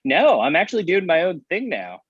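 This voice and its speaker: A man acting as a breezy, smug thirty-something guy in a comedy read. His voice is husky and swings around in pitch, casual and glib, through a dull lo-fi microphone. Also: wildly swooping pitch, brisk, dry recording, heavily acted. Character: glib, breezy thirty-three-year-old man, smug rival friend. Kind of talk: naturalistic comedy table read.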